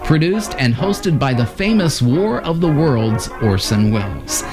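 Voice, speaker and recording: A man's voice: medium pitch, big pitch swings, unhurried, over music. A man reading scripted narration as a channel announcer.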